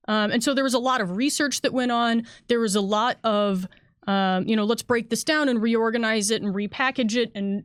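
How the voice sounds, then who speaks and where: Higher-pitched woman chatting about design work. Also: no room sound, studio quality. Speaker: game designer. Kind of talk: casual panel chat about game design.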